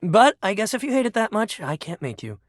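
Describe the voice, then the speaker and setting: A man's voice: high voice, big pitch swings, brisk, dry recording, clean studio sound. An actor playing an upbeat, friendly but sensitive young male sports jock in a video game, talking in casual, emphatic bro speak. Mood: hurt, pleading. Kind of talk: video game character dialogue.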